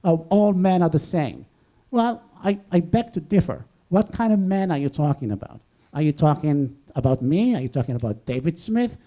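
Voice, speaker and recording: A man speaking off the cuff as a professor in an academic discussion, discursive and halting, rephrasing as he goes. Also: a little high, expressive, highs cut off. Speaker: professor on a debate panel. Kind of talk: extemporaneous academic discussion before an audience.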